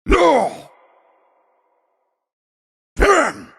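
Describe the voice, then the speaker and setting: Fierce, high, bellowing cat-folk warrior. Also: male voice, wildly swooping pitch, extremely slow, gravelly, fairly bright tone, full character voice. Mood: fierce, forceful. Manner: bellowing single explosive words. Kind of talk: video game battle shouts.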